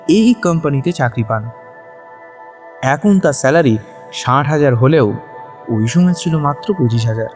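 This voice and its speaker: A man's voice: on the high side, a little mellow. A man narrating a scripted audio story in a dramatic storytelling style.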